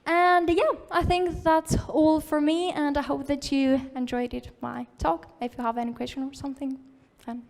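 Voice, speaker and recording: A woman's voice: high-pitched, level tone, normal speed, vocal fry, few pauses, darkish tone. Encouraging woman giving a conference talk. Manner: encouraging, informal with many fillers. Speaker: conference speaker. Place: conference hall.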